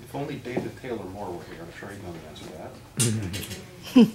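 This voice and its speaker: A man's voice: sits low, expressive, fast. A man co-presenting at a live event, tossing in joking stage banter. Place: live event in an echoey room. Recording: echoey, very noisy distant room recording.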